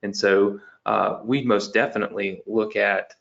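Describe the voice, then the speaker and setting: A man's voice: fairly low pitch, fairly even intonation, a little slow, gravelly, a bit bright, muffled recording. A man who is losing his voice, teaching an online business lesson at a whiteboard and checking in with "okay?" and "right?" after each point. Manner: tag questions after each point, explaining step by step.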